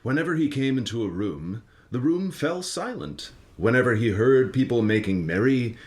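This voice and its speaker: A man's voice: medium pitch, animated, normal speed, a little mellow. A man narrating a comic radio play as a dramatic storyteller, reading from a script.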